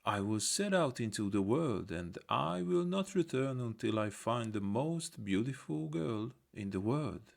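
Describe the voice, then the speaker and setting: Man voicing a resolute young prince, creaky. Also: medium pitch, expressive, measured pace, hardly any pauses. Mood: determined. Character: young prince, determined romantic adventurer.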